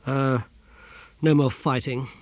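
A man's voice: mid-range voice, fairly even intonation, slow, a little mellow, no echo. A man voicing a weary, blunt middle-aged army general.

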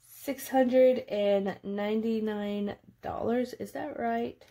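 A woman's voice: on the high side, fairly even intonation, slow, dark tone. A woman in her late twenties talking casually through a budgeting vlog, chatty and thinking aloud as she goes. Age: late 20s. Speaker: budgeting vlogger. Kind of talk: casual narrated budget walkthrough.

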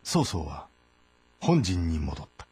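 A man's voice: a bass voice, very animated, slow, a little mellow, slight background noise. A man giving dramatic scripted narration for a historical game, as its narrator.

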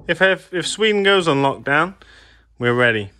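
A man giving a relaxed, chatty tour of his farm, filmed on a handheld phone outdoors and in sheds. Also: on the high side, moderate pace. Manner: relaxed, gentle, chatty with a child. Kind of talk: casual walking farm tour vlog. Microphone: handheld phone camera.

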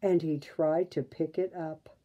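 A woman in her sixties reading a children's storybook aloud in an expressive, deliberate way. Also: deep voice. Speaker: storybook reader. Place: small bedroom at home.

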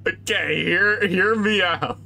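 A man's voice: high voice, slow, gravelly, background noise. A man reacting casually to a game during a playthrough with friends.

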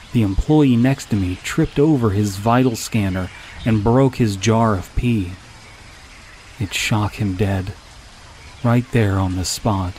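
A man's voice: lowish voice, darkish tone, slight background noise. A man reading a scripted first-person comic horror story as its narrator.

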